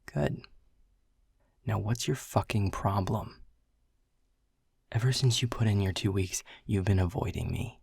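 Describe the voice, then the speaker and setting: A man's voice: fairly low pitch, a little slow, long pauses, darkish tone, heavily acted. A man playing a world-weary, prickly male middle manager in a second-person audio roleplay, blunt and sarcastic, swearing often. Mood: irritated. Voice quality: gravelly, husky.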